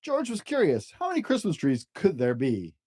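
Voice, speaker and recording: A storyteller reading a children's picture book aloud in an expressive, gentle way, as if to young children. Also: medium pitch, moderate pace, breathy edge.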